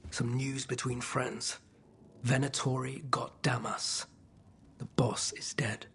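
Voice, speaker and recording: An actor playing a weary, grim smuggler with a very husky, breathy voice in a cinematic game scene. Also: male voice, medium pitch, flat delivery, takes it slowly, fairly bright tone, slight background noise. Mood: grim, grieving. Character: weary, grim underworld smuggler bringing bad news. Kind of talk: cinematic video game acting.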